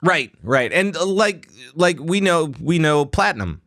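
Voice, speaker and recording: A man's voice: fairly high pitch, sing-song, unhurried, fairly bright tone, no room sound. A man hosting a casual gaming podcast, talking loosely with lots of fillers and restarts. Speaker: podcast host.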